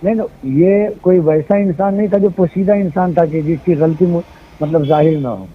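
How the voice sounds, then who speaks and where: A man's voice: on the high side, moderate pace, breathy edge. A man explaining himself emphatically in a recorded phone conversation, giving his side as an interviewee. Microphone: phone line. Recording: muffled, tinny recorded phone call.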